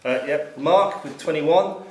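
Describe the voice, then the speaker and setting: A man's voice: on the high side, slow pace, a little mellow. A man acting as quiz master, reading out questions and scores to a room. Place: club room at a pub-style quiz event. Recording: echoey room, distant mic, faint noise.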